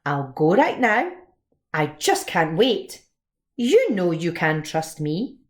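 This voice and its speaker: An eager storybook admirer played by a woman reader who gushes and coaxes to win trust. The pitch is very lively. Words are stretched out slowly. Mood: eager, gushing. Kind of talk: dramatised children's storybook reading.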